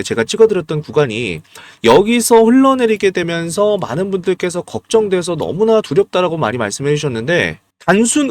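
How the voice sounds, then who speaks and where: A hoarse man analyzing stock charts as a channel host, emphatic and asking his viewers rhetorical questions. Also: high voice, no echo.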